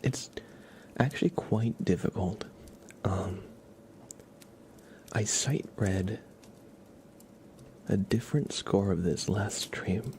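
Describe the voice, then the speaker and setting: A man speaking softly and at times near a whisper, hosting a casual, unhurried ASMR livestream. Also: on the low side, slight background noise. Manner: soft, hushed, partly whispered, unhurried. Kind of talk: soft-spoken ASMR livestream chat. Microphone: sensitive streaming microphone with monitoring.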